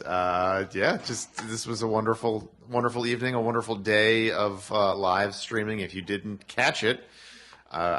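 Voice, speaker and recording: A man's voice: lowish voice, sharp tone. A man emceeing an awards night, upbeat and loose, ad-libbing with lots of fillers. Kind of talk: event emceeing. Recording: faint crowd noise behind.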